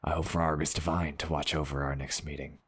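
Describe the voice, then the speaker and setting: Very deep, menacing vengeful assassin. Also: male voice, flat delivery, breathy edge, full character voice. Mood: menacing contempt. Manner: slow, deliberate, ominous, taunting. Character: menacing vengeful male rebel assassin with cold contempt. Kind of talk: audio drama acting. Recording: dramatic music behind the voice. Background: music.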